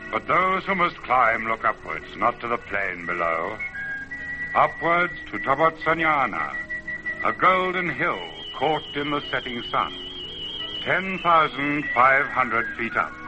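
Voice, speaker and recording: A man's voice: mid-range voice, sing-song, takes it slowly. A man playing an ancient, proud mountain spirit, speaking solemnly and theatrically in old-time radio drama. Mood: solemn, grand. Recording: dull vintage radio recording, music behind.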